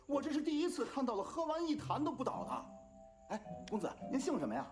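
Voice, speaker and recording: An actor voicing a chatty, gossipy innkeeper in an animated series. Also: high-pitched, brisk, soft background music. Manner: chatty, salesy. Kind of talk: Chinese animated series dub.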